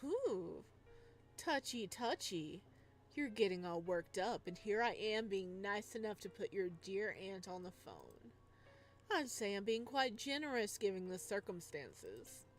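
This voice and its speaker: A woman's voice: medium pitch, big pitch swings, a little slow. Visual novel villain: male stalker killer, chipper, mocking, cruel , read by a woman, over background music. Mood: smug mocking. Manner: mocking, condescending.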